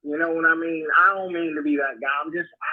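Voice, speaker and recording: A man's voice: fairly high pitch, steady tone, fast, natural voice. A man playing a gushing, awestruck fan on a prank call, flustered, repeating himself and fawning. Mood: bashful, adoring. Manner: flustered, repetitive, stammering and fawning. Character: gushing, awestruck male fan caller, bashful smitten admirer. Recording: dull lo-fi call audio.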